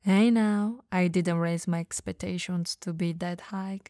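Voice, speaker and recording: A woman playing a teasing, dominant but caring vampire in an ASMR audio roleplay, breathy and gravelly, often dropping to whispers, coaxing and playfully condescending. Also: lowish voice, steady tone, unhurried, warm tone, no echo, clean studio sound, lightly acted. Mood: wry, defensive.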